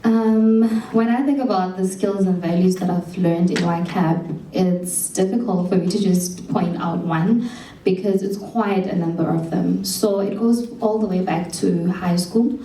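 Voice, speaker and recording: A woman's voice: fairly low pitch, a little slow, mellow. A young South African woman speaking earnestly at a showcase about what she learned, with some restarts. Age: young adult. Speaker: program alumna and speaker. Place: event hall. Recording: roomy hall from far off with faint hum.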